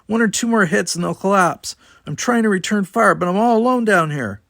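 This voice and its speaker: A man's voice: high-pitched, lively intonation, brisk, gravelly, fairly bright tone, dry recording, studio quality, pitched above the performer's own voice, exaggerated voice. A man voicing a young female cadet giving tense, clipped alarm reports in an audiobook. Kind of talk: audiobook reading.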